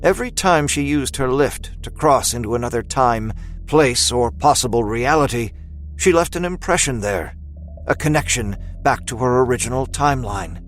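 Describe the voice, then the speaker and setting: Give a male narrator reading dramatic fiction. His pitch is average for a man, and his scripted storytelling sits over soft background music. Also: expressive, moderate pace.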